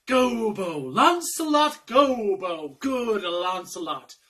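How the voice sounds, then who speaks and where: A man's voice: high voice, sing-song, slow pace, bright, forceful tone, far from the mic, exaggerated voice. A man playing a comic, dithering young servant in a Shakespearean monologue, theatrically arguing between temptation and conscience. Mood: agitated indecision.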